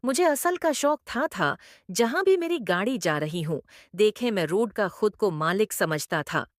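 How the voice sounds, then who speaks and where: A woman's voice: mid-range voice, lively intonation, measured pace, dry recording, clean studio sound. A woman reading a scripted first-person story as a narrator.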